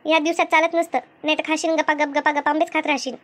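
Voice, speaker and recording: Lady doing a super high squeaky cartoon village woman, gossipy and good-humoured, rural maharashtra accent. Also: level tone, fast, a bit bright. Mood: chatty. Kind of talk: animated cartoon comedy dialogue.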